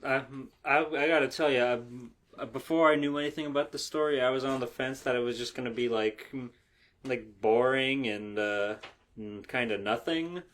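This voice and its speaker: Male podcast co-host, forceful bright voice, irreverent comic banter, faint noise behind. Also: mid-range voice. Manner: irreverent, sweary, halting and excitable. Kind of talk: casual comedic banter.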